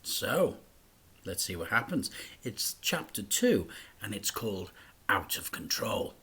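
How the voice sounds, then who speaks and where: Man, teacher reading a story aloud, bright, lively, slow, breathy, hushed whispers and loud sound effects. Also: fairly low pitch. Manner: acts out crash sounds with loud bursts. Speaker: teacher reading stories to children. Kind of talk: dramatic storybook read-aloud.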